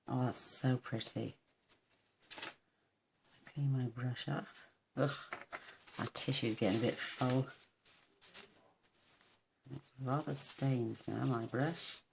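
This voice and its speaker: A woman gives a chatty card-making demonstration, gushing over her work as she goes. Her voice is croaky, with a gravelly fry at the edges. A steady hiss runs under the home recording. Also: rumbling low, slow pace, pauses often. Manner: gushing, talks to viewers while working.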